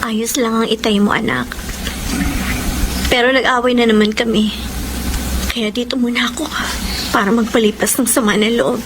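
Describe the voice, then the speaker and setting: A woman's voice: fairly high pitch, fairly even intonation, normal speed, long pauses, noisy background, close to an everyday voice. A woman playing a long-suffering, devoted wife and mother in a Filipino radio melodrama, earnest and plaintive, with a Filipino accent. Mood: sorrowful. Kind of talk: Filipino radio melodrama acting and confessional narration.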